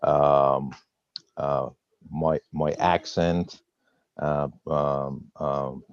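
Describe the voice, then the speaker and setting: A man in his fifties with a Persian accent, telling his personal story as a guest on a webinar, halting and repeating words with a slight stutter. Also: rumbling low, flat delivery, dry recording, dull audio. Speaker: guest trader. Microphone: video call.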